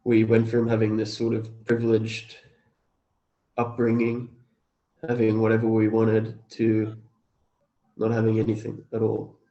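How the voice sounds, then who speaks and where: A man's voice: fairly low pitch, monotone, unhurried, pauses often, dark tone, highs cut off. A man, chatting in a laid-back, understated way as an interview guest. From Australia. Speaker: cafe owner and interview guest. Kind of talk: casual interview chat.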